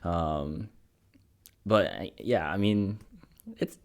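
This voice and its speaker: A man hosting a casual podcast interview, relaxed and joking, often stacking quick "yeah"s as he listens. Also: sits low, creaky. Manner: quick strings of repeated "yeah" as backchannel. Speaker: podcast host.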